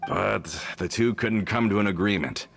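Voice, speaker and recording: A man's voice: fairly low pitch, normal speed, vocal fry. An actor playing an earnest, loyal older henchman in a video game. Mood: explaining.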